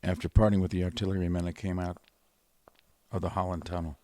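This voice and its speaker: An actor in 1930s radio drama style playing a grave, weary, educated survivor, speaking and narrating in a measured, solemn way with deliberate pauses. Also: male voice, sits low, flat delivery, dark tone, natural voice.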